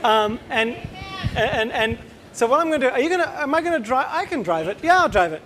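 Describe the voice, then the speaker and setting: High-voiced Australian man giving a talk. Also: animated, brisk, fairly bright tone, slight background noise. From Israel. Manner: casual, chatty, joking, quizzes the audience. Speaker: company co-founder giving a talk. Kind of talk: conference presentation to a live audience. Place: conference room.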